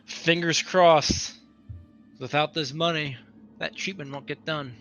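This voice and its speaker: A man playing a worried, grudging space freighter worker in a tabletop game, speaking casually and haltingly. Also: fairly high pitch, steady tone, a bit bright, faint music behind. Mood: anxious. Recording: background noise.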